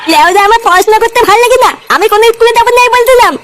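A very high, squeaky little boy with a bratty, insolent streak. He whines and argues at a quick clip, in a comedy cartoon style. The track has clear noise on it. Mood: sulky, defiant. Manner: whining, defiant, insolent. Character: bratty, foul-mouthed little schoolboy refusing school.

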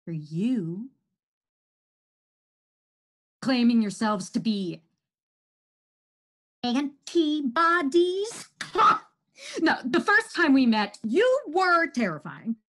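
A woman playing a boastful, menacing virus in a theatrical, drawn-out stage monologue. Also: a little high, heavily acted. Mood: proud, menacing, then unsettled. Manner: theatrical, drawn-out, gloating, swinging from outbursts to hushed menace. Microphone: computer microphone, close webcam framing.

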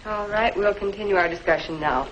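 An actress playing a formal schoolteacher lecturing a class in a 1950s instructional film. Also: female voice, mid-range voice, fairly quick, fairly bright tone, natural voice. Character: formal, orderly schoolteacher giving a history lesson. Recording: muffled, hissy 1950s film soundtrack.

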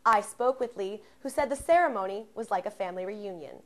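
A woman's voice: mid-range voice, animated, fairly quick. A young American woman reporting scripted TV news in a formal, enunciated newscaster delivery. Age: young adult.

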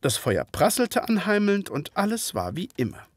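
An actor playing a gentleman physician and former soldier, narrating an audio drama in measured, literary fashion. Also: high voice, wildly swooping pitch, slightly rough, dry recording, exaggerated voice. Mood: relieved, composed.

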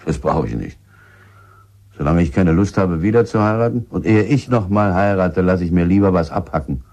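A bitter village husband in a radio drama, with a very low, husky voice. He talks coarsely and guardedly, halting over his answers like someone under suspicion. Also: lively intonation, darkish tone. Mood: bitter, dismissive. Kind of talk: German radio drama acting.

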